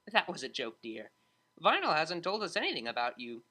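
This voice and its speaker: Narrator playing a friendly older schoolteacher mare for an audiobook: chatty, kindly, animated. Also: medium pitch, fairly quick, full character voice. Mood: friendly, joking. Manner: kindly, gently joking, chatty.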